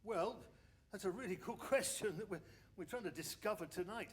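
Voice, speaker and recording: A man's voice: high voice, normal speed, breathy edge. A man playing an anxious, sceptical 1920s research engineer in a radio play, hesitant and stammering as he talks. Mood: hesitant, explaining. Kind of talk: period radio drama acting.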